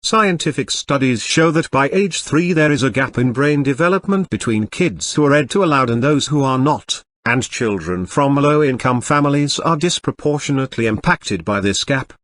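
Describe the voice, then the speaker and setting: A man's voice: medium pitch, dry recording. A man reading a scripted practice passage aloud for an exam lesson.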